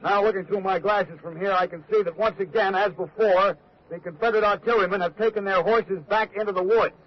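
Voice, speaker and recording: Battlefield observer: watchful, deliberate, formal, high-set voice on dull vintage radio. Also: male voice, fairly even intonation. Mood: watchful, tense. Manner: deliberate, formal descriptive reporting. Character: watchful male radio reporter observing enemy lines. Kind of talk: 1940s radio acting. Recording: muffled old 1940s radio recording.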